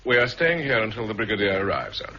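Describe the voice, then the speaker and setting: A man's voice: on the low side, big pitch swings, fast, pushed and bright, exaggerated voice. A man with a British accent playing a dutiful, coolly defiant army captain in a 1960s radio drama, firm, terse and formally polite. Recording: muffled, hissy 1960s radio recording.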